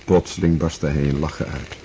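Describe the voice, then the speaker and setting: A man narrating a radio drama in a measured, formal, literary storytelling manner. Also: extremely low pitch, fairly even intonation, dark tone, dull audio, slight background noise. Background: music.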